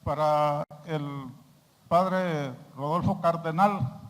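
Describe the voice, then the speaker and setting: A man from Honduras with a Honduran accent, putting a question from the audience in a deliberate, courteous manner. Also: fairly high pitch, fairly even intonation. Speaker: audience member asking a question. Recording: echoey hall.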